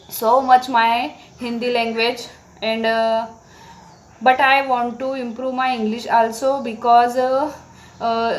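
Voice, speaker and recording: A woman with an Indian accent and Marathi as her native language, speaking halting, simple learner's English in an informal talk to viewers. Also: on the high side, poor audio. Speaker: English-practice vlogger. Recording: background noise.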